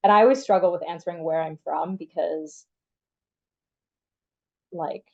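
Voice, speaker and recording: A woman's voice: on the low side, unhurried, gravelly, lo-fi sound. A young woman from Canada, the guest in a casual interview, talking in a chatty way with many "like" fillers. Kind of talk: casual conversation.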